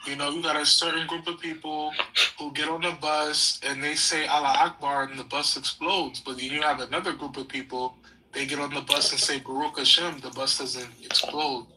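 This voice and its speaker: A man in a casual online group chat telling animated stories that build to punchlines. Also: a little high, normal speed, rough recording.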